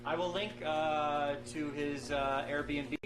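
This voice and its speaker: A man's voice: on the high side, fairly even intonation, slow, echoey room, over loud music. A man hosting a travel vlog, talking casually and enthusiastically with a promotional bent.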